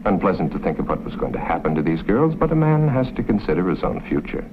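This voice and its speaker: An actor narrating a 1960s horror film in a grave, ominous manner. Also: male voice, low voice, sing-song, fast talker, background noise. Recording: narrow-band old film soundtrack with music.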